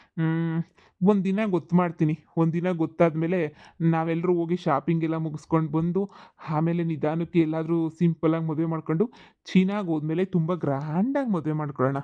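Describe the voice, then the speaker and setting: A woman playing a male swindler who poses as a Chinese groom and talks smooth and evasive. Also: low-pitched, steady tone, fairly quick, phone-quality sound, natural voice. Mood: scheming. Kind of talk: comedy sketch acting.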